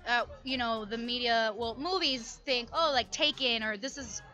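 A woman's voice: a little high, moderate pace, pushed and bright, soft background music. A young woman from Miami co-hosting a live radio broadcast at a lounge, chatty and earnest into a desk mic. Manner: chatty, earnest about a cause. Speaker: radio co-host and fashion line founder. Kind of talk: casual radio talk. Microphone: desk microphone on stand.

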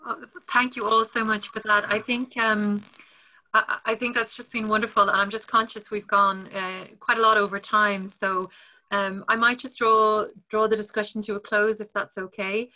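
A woman moderates a webinar panel and draws it politely to a close. Her voice is bright and crisp. She is heard over a video call. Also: medium pitch, level tone, normal speed, low-quality recording. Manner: polite, warm, wrapping up.

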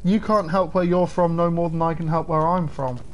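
A man's voice: on the high side, fairly even intonation, normal speed. Chatty young male gamer streaming. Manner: chatty, says "man" a lot, swears at the game. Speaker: game streamer. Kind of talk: casual livestream commentary.

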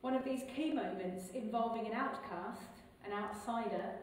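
A middle-aged English woman priest reading the gospel and preaching in a measured, clear liturgical manner, recorded in a large stone church from a distance. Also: fairly high pitch, a little hiss or hum. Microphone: far camera. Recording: echoey church from far off.

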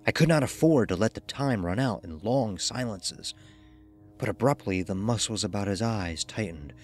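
A man narrating a horror story in the first person with deliberate, dramatic delivery. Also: fairly low pitch.

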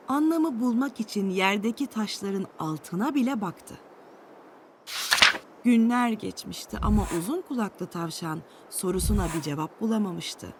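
A woman reading a scripted children's storybook tale with an expressive storytelling delivery. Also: on the high side, noisy background.

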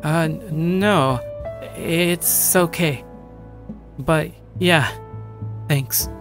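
A man's voice: fairly high pitch, drawn-out words. A man playing a shy young gamer loner over music. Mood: nervous, flustered. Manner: self-deprecating, stammering when talking to others. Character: shy, awkward young male gamer loner narrating his life. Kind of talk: first-person story narration with acted dialogue.

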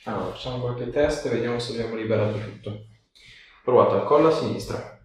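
A man's voice: medium pitch, fast. A man, giving hands-on instructions and asking questions as a chiropractor. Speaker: chiropractor treating a patient. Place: small treatment room, indoors. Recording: very echoey room, distant mic, faint noise.

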